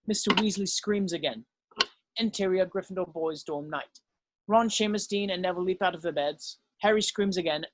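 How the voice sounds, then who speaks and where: A narrator reading screenplay stage directions as scripted narration, now and then stumbling over the text. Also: mid-range voice.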